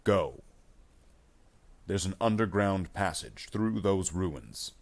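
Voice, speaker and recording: A man's voice: deep voice, animated, takes it slowly, creaky, full character voice. A man voicing a wounded, stoic space soldier sergeant in a story, solemn and commanding. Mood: urgent.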